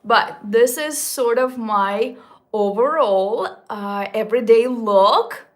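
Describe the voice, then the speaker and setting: A woman's voice: on the high side, slow. A woman almost forty, chatting casually to her viewers as a beauty vlogger, gushing about products with little slips and restarts. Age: 30s. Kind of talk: casual makeup talk to viewers.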